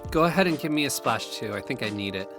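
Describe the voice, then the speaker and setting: A man's voice: medium pitch, lively intonation, quick pace, close to an everyday voice. A man playing a shaken, blunt young housemate in a naturalistic audio drama. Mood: shaken, earnest. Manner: blunt, sweary. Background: music.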